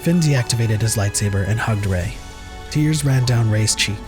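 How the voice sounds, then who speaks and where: A man's voice: lowish voice, moderate pace. A man narrating a fan audio drama in a scripted, dramatic storybook style. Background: prominent music.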